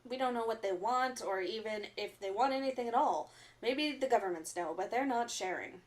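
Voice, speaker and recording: A woman playing an earnest, stern resistance fighter who delivers solemn, deliberate warnings in a radio drama broadcast. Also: on the high side, close to an everyday voice. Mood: solemn, urgent. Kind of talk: audio drama acting as underground radio broadcast.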